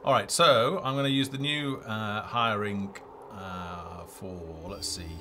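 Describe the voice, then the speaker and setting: A man's voice: on the low side, steady tone, slow, bright, forceful tone, a little hiss or hum. A man giving live gameplay commentary as a developer and stream host, thinking aloud hesitantly. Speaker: game developer hosting a livestream. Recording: game music behind the voice. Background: game music.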